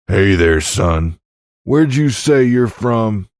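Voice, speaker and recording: Man doing a slow, drawling giant gunslinger. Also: fairly low pitch, animated, a little mellow, no echo, clean studio sound, voice pushed up. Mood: challenging. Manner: folksy, drawling. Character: big giant gunslinger, proud, tough cowboy.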